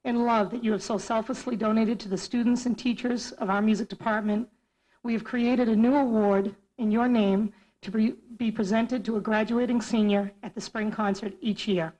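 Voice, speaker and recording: A woman's voice: fairly high pitch, steady tone, normal speed, warm tone, poor audio. A woman music teacher giving a formal ceremony speech in a school auditorium, reading prepared remarks.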